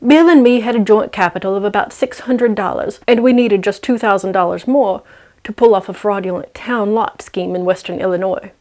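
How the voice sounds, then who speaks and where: A woman's voice: medium pitch, brisk, a little mellow. A woman storyteller narrating in a dramatic, scripted read-aloud style.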